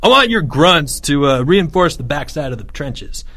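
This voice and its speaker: A man's voice: on the high side, big pitch swings, normal speed, fairly bright tone, heavily acted. A man voicing a scornful, bossy schoolboy who commands a playground army and barks orders in an audiobook story. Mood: commanding.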